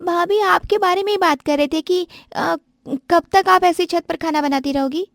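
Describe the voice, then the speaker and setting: A woman's voice: extremely high pitch, normal speed, gravelly, no room sound, clean studio sound, pitched above the performer's own voice. A woman voicing a young sister-in-law who complains and pleads. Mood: complaining.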